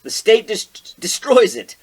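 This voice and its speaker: A man's voice: sits high, sing-song, unhurried, slight background noise. An American man co-hosting a podcast, discussing politics casually with sardonic rhetorical questions. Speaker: podcast co-host.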